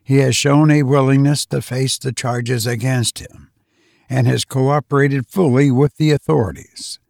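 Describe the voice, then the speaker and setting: A man's voice: medium pitch, steady tone, measured pace, gravelly, darkish tone, no room sound, clean studio sound. A man voicing a seasoned veteran male defense attorney, composed and formal, in an audiobook. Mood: composed, firm.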